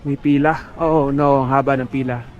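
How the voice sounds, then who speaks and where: A man's voice: mid-range voice, fairly even intonation, moderate pace, a little mellow, highs cut off. A man with a Filipino accent chatting casually as a family travel vlogger, mixing Tagalog and English. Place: outdoors at busy tourist spots. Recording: outdoors with busy crowd chatter.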